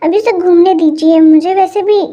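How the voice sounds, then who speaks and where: Woman voicing an indulgent aunt, coaxing and pleading, very high voice. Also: steady tone, moderate pace, mellow.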